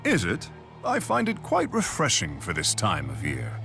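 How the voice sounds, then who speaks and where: An actor playing a stoic, proud exiled king in a video game, speaking in a formal, measured, deadpan manner. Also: vocal fry, exaggerated voice. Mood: composed. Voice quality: deep. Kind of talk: scripted game dialogue. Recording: game music behind the voice.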